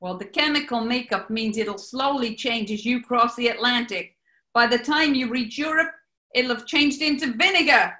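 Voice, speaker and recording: A woman's voice: a little high, moderate pace, pushed and bright. A woman playing a plucky, loyal bootlegger, earnest and firm, in a radio play. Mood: earnest, defiant. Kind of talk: 1940s-style radio play acting.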